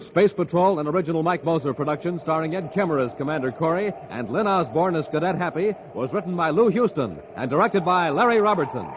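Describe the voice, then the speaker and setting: Animated American male radio announcer. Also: on the high side, quick pace, few pauses, background noise. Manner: enthusiastic, emphatic salesmanship. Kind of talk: 1950s radio announcing and ad pitch. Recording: dull vintage radio transcription.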